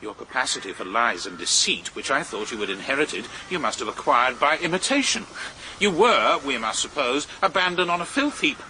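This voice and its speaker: An actor in a period radio drama playing a cruel, contemptuous, menacing count with a sneering, theatrical delivery. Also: male voice, medium pitch, sing-song, moderate pace, sharp tone, slight background noise, rough recording. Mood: contemptuous fury.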